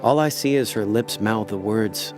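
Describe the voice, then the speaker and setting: Man acting as laid-back noir con man, low pitch, wry, world-weary, slangy, radio drama over loud music. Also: moderate pace, close to an everyday voice. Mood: wry, cynical. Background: prominent background music.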